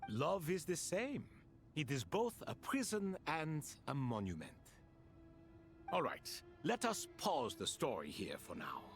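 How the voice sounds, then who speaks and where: An actor playing a cryptic ancient servant of a desert king in a video game, with a grandiloquent, deliberate delivery. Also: fairly high pitch, heavily acted. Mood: sly, teasing. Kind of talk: dramatic video game voice acting.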